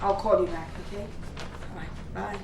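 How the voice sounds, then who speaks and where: A woman's voice: medium pitch, fairly even intonation, moderate pace, gravelly, heavy background noise, natural voice. An actress playing a haughty, well-off older mother who mocks her son and scorns his wife. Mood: breezy. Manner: dismissive, mocking. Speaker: the husband's mother. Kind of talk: film drama acting. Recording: roomy, distant, under loud film score.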